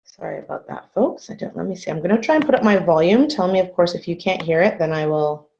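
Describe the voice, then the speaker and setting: A woman in her thirties from Canada giving an earnest, measured webinar talk as a guest speaker over a video call. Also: lowish voice, a little mellow. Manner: earnest, measured, repeats phrases for emphasis. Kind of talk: webinar presentation. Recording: muffled video call audio.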